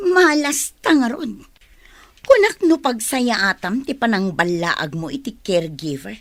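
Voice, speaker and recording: Pushy money-hungry schemer in a radio drama, voice goes up and down a lot, highish. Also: female voice, big pitch swings, unhurried, no room sound. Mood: scheming. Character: scheming, demanding woman greedy for money.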